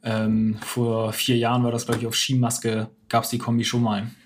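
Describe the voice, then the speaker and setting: A man's voice: medium pitch, completely flat delivery, normal speed, a little hiss or hum. A man co-hosting a music show, presenting songs in informal commentary. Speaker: co-host presenting new songs.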